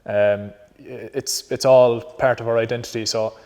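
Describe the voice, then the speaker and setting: Earnest Connemara Irishman, flat and reverberant. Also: mid-range voice, moderate pace. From Conamara, Ireland. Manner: earnest, reflective, with frequent ums and restarts. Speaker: traditional musician. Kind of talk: on-stage talk introducing songs.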